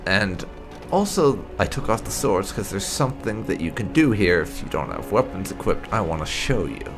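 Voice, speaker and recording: A man's voice: deep voice, sing-song, normal speed, music in the background. A man hosting a game playthrough, commenting on his choices and talking partly in character as he roleplays. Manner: talks in character while narrating his choices. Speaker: gaming channel host.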